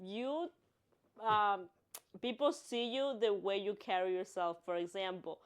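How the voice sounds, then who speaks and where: A woman's voice: mid-range voice, measured pace, smooth. Chatty young woman co-hosting a podcast. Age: late 20s. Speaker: podcast co-host. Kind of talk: casual podcast chat.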